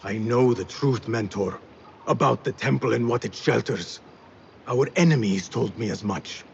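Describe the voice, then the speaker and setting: An actor playing a defiant, driven young assassin in a video game cutscene, with an intense, dramatic delivery. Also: male voice, mid-range voice, normal speed, gravelly, lo-fi sound, a little hiss or hum, natural voice. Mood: grave, insistent.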